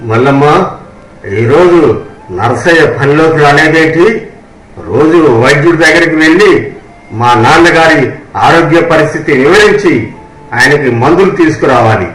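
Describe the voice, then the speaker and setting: A man's voice: fairly high pitch, normal speed, pauses often, fairly bright tone, lightly acted. A man playing a wealthy, miserly village landowner in a moral story, cunning, bossy and wheedling. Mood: bossy, grumbling. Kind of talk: scripted moral story dialogue. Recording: roomy echo on the voice.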